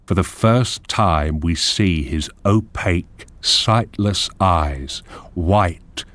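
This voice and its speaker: Deep, slow male drama narrator. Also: a bit bright. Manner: measured, descriptive. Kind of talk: scripted screenplay narration in present tense.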